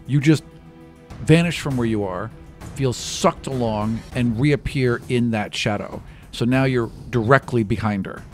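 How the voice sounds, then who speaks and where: A man in his thirties improvising casual, vivid narration as a game master, close on a studio microphone. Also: mid-range voice. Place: small indoor podcast studio. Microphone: close studio microphone with foam cover. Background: background music.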